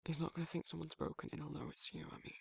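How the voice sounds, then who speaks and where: A man's voice: on the high side, completely flat delivery, racing, no echo, heavily acted. A man playing a protective, awkward werewolf in an intimate ASMR roleplay, speaking breathily and hesitantly, often near a whisper. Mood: gently reassuring. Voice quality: husky, breathy, gravelly, often dropping to a whisper. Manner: hesitant, rambling, bashful, informal. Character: protective, awkward, soft-hearted male werewolf confiding in a friend.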